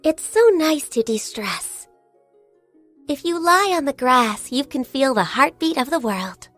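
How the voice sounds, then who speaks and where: An actress playing a gentle, diligent, shy and youthful half-beast secretary in a video game, speaking politely and earnestly. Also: female voice, high voice, lively intonation, a little slow, soft background music. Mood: soft, serene. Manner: polite, earnest, hesitant when flustered. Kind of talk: video game character voice lines.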